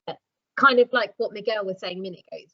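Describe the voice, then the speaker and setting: A woman's voice: mid-range voice, racing, no echo. A woman moderating a school video-call discussion, asking questions in a friendly, encouraging way. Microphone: laptop microphone on a video call. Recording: dull lo-fi laptop audio.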